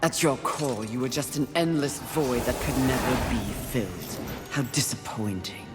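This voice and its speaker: A woman's voice: rumbling low, normal speed. A woman playing a proud, defiant warrior Horseman of the apocalypse in a video game, with a commanding manner. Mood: cold, scornful. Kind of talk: dramatic video game acting. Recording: loud music under the voice.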